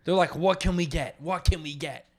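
Male podcast co-host, higher-pitched, casual and foul-mouthed, quick to argue. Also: a little mellow. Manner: crude, profane, argumentative. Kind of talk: casual comedy banter.

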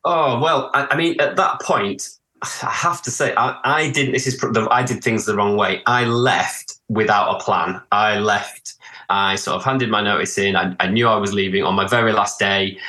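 A man's voice: lowish voice, normal speed, pushed and bright, echoey room. A man in his thirties from northeast England with a northern English accent, a podcast guest talking casually and hesitantly, with frequent restarts. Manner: hesitant, many restarts and "sort of". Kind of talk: casual interview talk.

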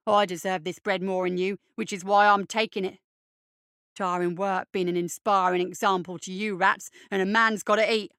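A sadistic young street bully, performed by a woman narrator, swaggering and taunting in rough street talk, pitched down and loud. The voice is clean and close, with a dry sound. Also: flat delivery, normal speed, dry recording. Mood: smug, domineering. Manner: taunting, grandiose, rough uneducated street speech.

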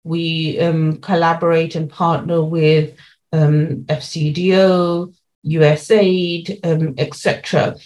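A woman giving explanatory interview answers as a policy lead, laying out points in measured, formal terms. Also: low-pitched, little pitch movement, darkish tone.

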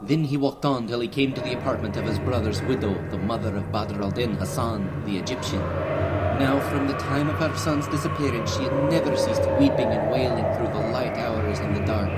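Low-voiced man narrating an old tale. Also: heavy background noise. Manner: formal, archaic storytelling. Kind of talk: scripted storybook narration, audio drama.

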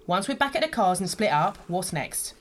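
A woman's voice: fairly low pitch, sing-song, normal speed. Woman playing a bossy, sarcastic smuggler. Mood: commanding. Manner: sardonic, curt, domineering. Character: bossy, sarcastic female gun smuggler, hard and scornful. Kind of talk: audio drama acting.

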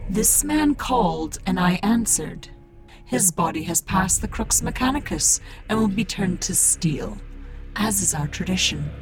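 A woman playing a shrewd, commanding cult priestess in a science fiction audio drama, speaking in a measured, formal way. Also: mid-range voice, lively intonation, over music. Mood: solemn.

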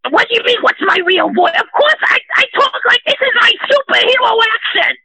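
A caller voicing a boastful, trigger-happy self-styled vigilante superhero who shouts in a whiny, near-crying voice. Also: extremely high pitch, lively intonation, brisk, heavily acted. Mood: aggressive. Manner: boastful, aggressive, rambling.

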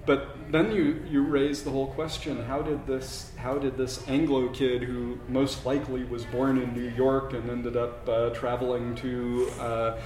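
A man, an author, talking casually about his research, halting with many fillers and repeats. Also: medium pitch, flat delivery, darkish tone, roomy sound, poor audio. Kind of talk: casual conversation about writing.

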